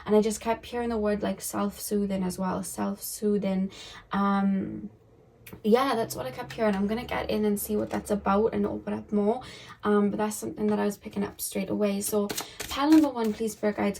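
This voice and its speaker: A woman giving a casual tarot reading to her viewers. Her voice is hoarse and croaky, and she talks in a chatty, rambling way, full of "um" and "like". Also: medium pitch, a little mellow, slight background noise, rough recording. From United Kingdom. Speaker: tarot card reader.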